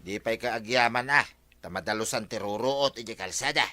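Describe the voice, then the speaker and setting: A man's voice: medium pitch, normal speed, bright, forceful tone, lightly acted. A man playing a stubborn, defensive cattle farmer in a radio comedy drama, with an Ilocano accent and an argumentative manner. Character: stubborn, defensive village farmer who owns a cow.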